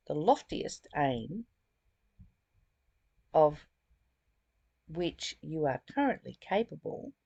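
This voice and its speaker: Aussie lady with a low gravelly voice, reading and explaining stuff real slow, kinda informal study session. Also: dark tone, dry recording. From Australia. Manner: deliberate reading broken by chatty asides. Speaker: spiritual study host reading and explaining a book. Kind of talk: informal spiritual lesson, reading aloud with commentary.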